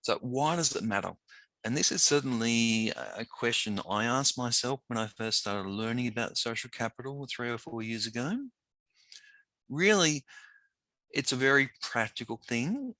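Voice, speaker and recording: A man presenting a webinar as a teacher of the deaf, slightly hoarse and talking informally with frequent pauses and fillers. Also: mid-range voice, sharp tone, dry recording, low-quality recording. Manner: informal, conversational, with frequent ums and restarts.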